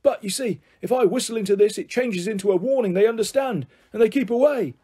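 A man's voice: high voice, a little fast, warm tone, husky, pitched above the performer's own voice. A man voicing a muddled, forgetful old grandfather in a children's storybook, rambling through eccentric notions. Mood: earnest warning.

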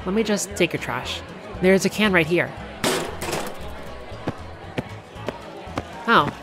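A performer voicing a cheerful, affectionate girlfriend on a theme park date, speaking gently and unhurriedly in a one-sided ASMR roleplay. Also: medium pitch, expressive, over music, crowd noise, lightly acted. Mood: cheerful, caring. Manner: gentle, unhurried, softly conversational.

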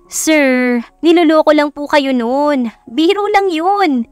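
Woman playing a high, bubbly Filipino secretary. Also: slow, dry recording, soft background music. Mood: teasing. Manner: polite, teasing, animated. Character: bubbly, giggly, deferential female secretary.

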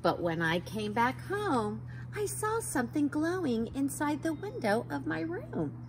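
A woman in her forties reads a children's picture book aloud on an outdoor patio. Her delivery is unhurried and very animated, rising and falling in a sing-song way as she sounds out letters and gasps for effect. A faint hum of outdoor air sits under her voice. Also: high-pitched. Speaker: storytime reader for children. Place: backyard patio outdoors by a wooden fence.

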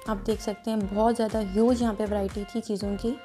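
A woman's voice: medium pitch, level tone, fast talker, warm tone, faint music behind. A woman vlogger, chatting through a market tour and explaining prices. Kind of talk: casual voice-over narration.

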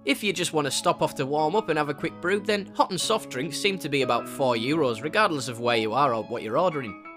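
A man's voice: a little high, fast, a bit bright. A young man from northeast England with a northeast English accent, narrating a travel vlog voice-over with cheeky, jokey asides. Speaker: travel vlogger. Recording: voice-over with clear music bed.

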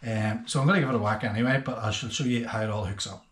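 A man's voice: on the low side, fast, a bit bright. Echoey man explaining casually. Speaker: boating video host. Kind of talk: casual explainer vlog to camera. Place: small indoor workshop room with bare walls. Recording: echoey bare room.

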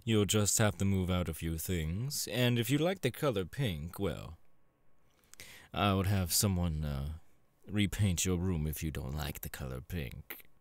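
Slow, sleazy demon boss played by a man. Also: no echo, full character voice. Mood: smooth, persuasive. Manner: suave, drawling, leering, with low chuckles and pet names. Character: sleazy, seductive demon porn director and crime boss, male. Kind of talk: ASMR audio roleplay, in character.

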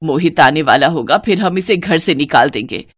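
Woman doing an old indignant saas in a cartoon, scolding n pleading, swingy pitch. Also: expressive, moderate pace, breathy edge. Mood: disgusted, defiant. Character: indignant, distressed elderly mother-in-law, wronged wife.

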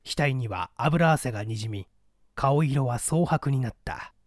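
A man's voice: medium pitch, unhurried, no room sound, clean studio sound. A man narrating a literary story as a scripted audiobook, in a formal, precise, dramatic manner.

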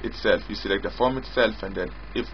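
A man giving an informal screen-recorded software demo as its developer, in a halting way, often repeating and rephrasing. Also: lowish voice. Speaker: software developer demonstrating own app. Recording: dull lo-fi mic with prominent music.

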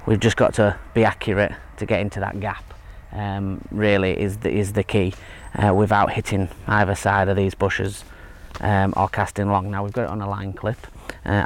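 A man in his thirties giving a relaxed, hesitant fishing tutorial outdoors by a lake, with lots of fillers and restarts. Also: lowish voice, normal speed, hardly any pauses. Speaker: angling instructor. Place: outdoors at a lakeside fishing spot. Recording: faint outdoor background noise. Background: faint outdoor ambience.

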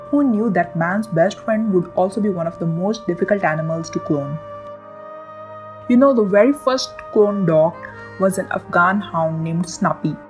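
A woman hosting a science channel, reading a scripted explainer in a lively, emphatic way. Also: medium pitch, husky. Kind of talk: scripted educational narration. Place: voice-over with soft background music.

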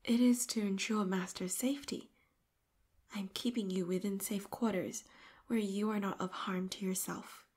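A woman voicing an obsessive, clingy female robot companion in an audio roleplay, talking breathy and hushed into a close mic, swinging from pleading to eerily calm control. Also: medium pitch, moderate pace, lightly acted. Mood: calm, possessive. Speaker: voice actress.

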